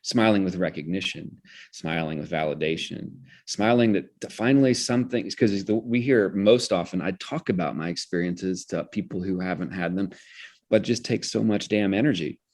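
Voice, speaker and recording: A man's voice: low-pitched, moderate pace, darkish tone. A man speaking as an interview guest, explaining ideas reflectively in an articulate, intellectual way and often restarting his phrases. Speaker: interview guest, theater director.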